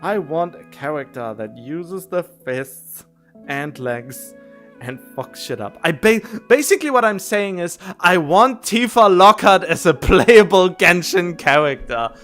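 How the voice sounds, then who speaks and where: A man's voice: sits high, expressive, measured pace, a bit bright, soft background music. A man livestreaming a video game, chatting casually and thinking aloud in a rambling, musing way, often correcting himself.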